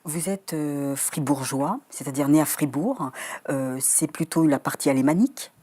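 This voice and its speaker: A woman hosting a TV studio interview, asking measured questions with occasional fillers. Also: deep voice, mellow. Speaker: TV interviewer host.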